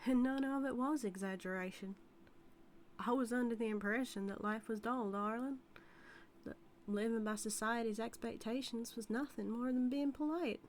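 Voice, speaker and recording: A woman's voice: on the high side, normal speed, a little hiss or hum, natural voice. A woman playing a flirty, dominant outlaw cowgirl with a Southern US accent in a scripted audio roleplay, drawling and teasing. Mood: boastful, wistful. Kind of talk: scripted audio roleplay, intimate character acting.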